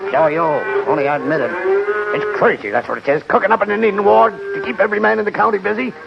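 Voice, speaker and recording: A man's voice: high voice, fast, gravelly. A man playing a scared, grumbling cattle rustler with an agitated manner in a radio western. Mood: scared, defiant. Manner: agitated, complaining. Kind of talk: old-time radio western acting. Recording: very noisy, muffled vintage radio recording.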